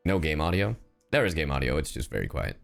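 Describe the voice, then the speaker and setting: A man live streaming a game, chatting casually in a slangy, sweary, expressive and excitable way. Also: extremely low pitch, fast, gravelly, faint music behind. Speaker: livestreamer.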